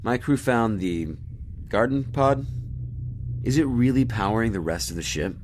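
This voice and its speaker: A man playing a stern, authoritative starship captain who speaks firmly and deliberately. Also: medium pitch, expressive, slight background noise, close to an everyday voice. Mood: measured. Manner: firm, measured, commanding. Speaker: ship captain. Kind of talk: audio drama acting.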